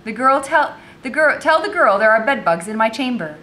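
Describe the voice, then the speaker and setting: A woman voicing an imperious, demanding mistress of the house with a shrill, commanding manner in a story. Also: lively intonation, normal speed, pushed and bright. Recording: noisy recording with low rumble.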